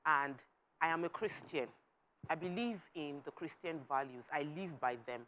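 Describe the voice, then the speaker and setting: A Nigerian woman on a talk panel, speaking in a slightly low voice. She makes her points emphatically, with a preachy streak, and slips into Pidgin. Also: moderate pace, a bit bright, a little hiss or hum, low-quality recording. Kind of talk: animated panel discussion.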